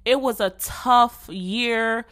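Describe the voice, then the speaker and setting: Bright-voiced Jamaican woman, candid chat. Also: a little high, very slow. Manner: candid, profane, slangy and rambling. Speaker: podcast host. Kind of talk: casual solo chat to followers.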